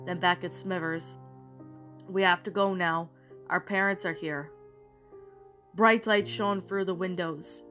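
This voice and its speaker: A woman with a bad cold reads a creepy tale aloud and then talks it over with viewers. Her voice is bright and forceful. She rambles, restarting sentences often, with soft music faintly underneath. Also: fairly low pitch. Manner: rambling, many fillers and restarts. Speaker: creepypasta narrator. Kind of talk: storytelling narration then casual review.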